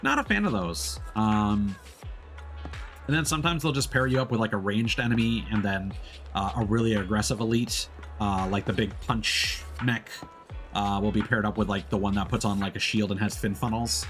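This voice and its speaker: A man giving casual, off-the-cuff commentary as a livestreamer, thinking aloud in a halting way. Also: lowish voice, fairly bright tone, faint music behind. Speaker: livestreamer, gamer. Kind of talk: casual gaming stream commentary.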